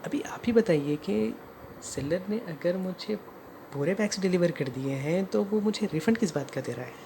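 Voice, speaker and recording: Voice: mid-range voice, animated, normal speed, mellow. An Indian vlogger explaining a personal customer complaint in narration that mixes in English phrases. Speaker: customer narrating own complaint as video creator. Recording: clear background noise.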